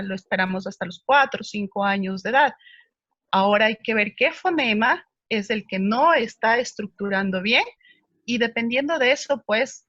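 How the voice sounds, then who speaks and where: A woman's voice: a little high, a bit bright, dry recording, dull audio. A woman speech therapist answering viewer questions, explaining patiently with frequent hesitations.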